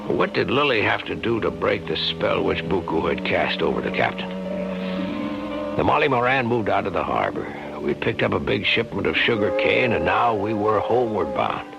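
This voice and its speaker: A concerned, skeptical doctor narrating a radio drama. His lowish voice has a gravelly edge and expressive pitch. The vintage recording sounds muffled. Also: moderate pace, over loud music, full character voice. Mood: somber narration. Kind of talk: radio drama acting and first-person narration.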